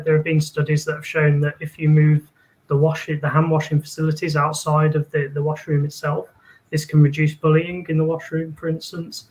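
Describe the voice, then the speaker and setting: A man in his thirties giving halting, explanatory answers as a panelist on a video call. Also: on the high side, poor audio. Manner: hesitant, halting delivery. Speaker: panelist, industry representative. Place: indoor room.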